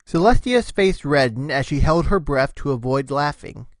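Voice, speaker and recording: A man's voice: a little high, lively intonation, normal speed, dry recording. Male story narrator, dry close sound. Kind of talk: scripted storybook narration of cartoon fan fiction.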